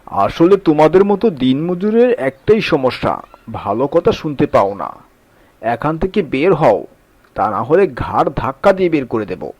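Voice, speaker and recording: A man's voice: fairly high pitch, big pitch swings, measured pace, a little mellow, dry recording. A man voicing a brusque, scornful boss who dismisses labourers in a cartoon folk tale. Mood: scornful, angry. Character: curt, scornful male boss turning away labourers. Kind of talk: Bengali cartoon fairy-tale acting.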